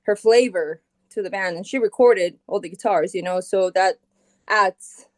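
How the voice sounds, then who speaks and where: Middle-aged woman from Colombia, interview guest, casual and unhurried with lots of fillers, dry close sound. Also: mid-range voice, dry recording. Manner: relaxed, thinks aloud with many fillers and restarts. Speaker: guitarist and founding band member, guest.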